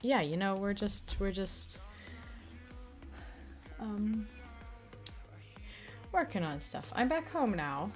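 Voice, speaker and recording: A woman streamer chatting casually with her viewers, rambling with many fillers, her throat still slightly raspy from an illness. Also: mid-range voice, very animated, slow pace, pauses often, faint music behind. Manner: rambling, full of fillers and restarts. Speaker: streamer and audio creator. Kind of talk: casual livestream chat.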